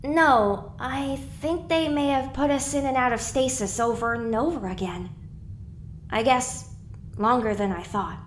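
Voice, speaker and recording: A woman's voice: sits high, measured pace, slight background noise. A woman playing an anxious young female pony in an audio drama, earnest and bewildered, full of self-questioning. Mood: dazed.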